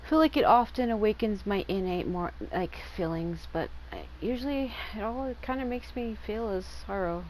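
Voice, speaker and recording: A woman's voice: mid-range voice, animated, moderate pace, few pauses, background noise. A woman giving casual, informal commentary as she plays a video game. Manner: informal, swears freely. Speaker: gaming video creator. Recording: game music behind the voice.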